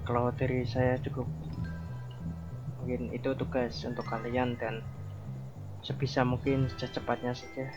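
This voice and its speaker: A man's voice: mid-range voice, level tone, unhurried, long pauses, close to an everyday voice. A man roleplaying a practical mafia member in an online game, giving casual, direct instructions. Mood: direct, instructing. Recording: muffled game voice chat with music.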